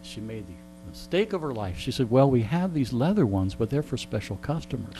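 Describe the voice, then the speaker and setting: A man's voice: mid-range voice, very animated, normal speed, warm tone, low-quality recording. A middle-aged or older man telling casual anecdotes in a wry, joking, digressive way. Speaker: interview guest and storyteller. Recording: clear background mains hum.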